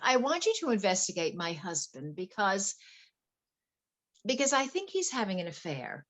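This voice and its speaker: A woman's voice: medium pitch, big pitch swings, moderate pace. A woman playing a wealthy, anxious wife in a play reading, earnest, polite and flustered. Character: wealthy, anxious wife confiding fears about her husband.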